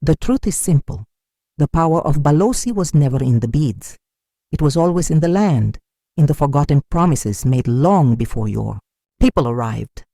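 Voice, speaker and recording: A narrator voicing a sinister cloaked stranger in a folktale, taunting and cryptic, making grand pronouncements with eerie calm. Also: mid-range voice, moderate pace, vocal fry, dry recording, clean studio sound. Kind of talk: dramatic folktale storytelling.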